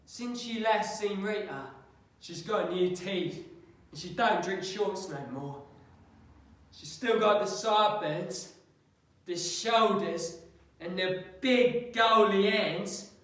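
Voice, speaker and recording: A man's voice: high voice, fairly bright tone, voice pushed up. A man performing a dramatic monologue as a sly, chatty working-class man, colloquial and emphatic, needling his listener. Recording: very echoey room, slightly distant mic.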